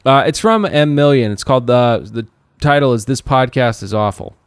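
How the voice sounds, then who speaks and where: A man's voice: medium pitch, expressive, normal speed, clear, resonant tone, no echo, studio quality. A man hosting a casual comedy podcast, joking and delivering mock-formal announcements. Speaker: podcast host.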